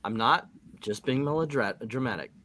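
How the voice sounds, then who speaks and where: A man's voice: mid-range voice, moderate pace, pushed and bright, a little hiss or hum, lightly acted. A man reading an insecure, self-doubting superhero team leader, rambling and melodramatic, in an amateur comic book reading. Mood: anxious, melodramatic.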